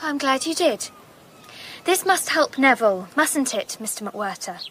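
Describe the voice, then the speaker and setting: High, animated, headstrong wife. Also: female voice, unhurried, a little hiss or hum. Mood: anxious, demanding. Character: loyal, headstrong wife, anxious and emotional. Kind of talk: radio drama acting.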